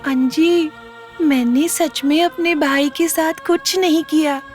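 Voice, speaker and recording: A woman acting out a timid, mistreated girl with a very high, slow voice. Soft music plays under her. She speaks gently and pleads. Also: steady tone. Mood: pleading. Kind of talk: melodramatic web drama acting.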